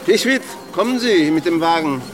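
A man's voice: on the high side, animated, moderate pace, over music, lightly acted. A man playing an earnest, restrained married village pastor in a German radio drama. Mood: gently observant. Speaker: actor in a radio play.

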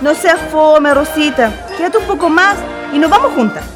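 A woman's voice: sits high, expressive, normal speed. A woman playing a lovestruck, dreamy young Chilean woman in a radio play, sighing and gushing theatrically. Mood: coy, pleading. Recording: music and crowd noise behind. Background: band music and crowd.

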